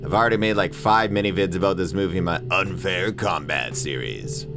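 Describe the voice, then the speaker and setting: A man's voice: sits low, fairly quick. A man in his thirties narrating a casual video essay in an irreverent, opinionated, slangy and profane way. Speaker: video essayist, channel host. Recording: music playing behind the voice. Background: background music.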